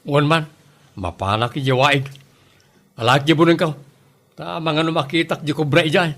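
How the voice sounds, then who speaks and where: A man's voice: fairly high pitch, moderate pace, fairly bright tone. A man playing a grumbling, worried husband and father in a comedy drama. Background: music behind the voice.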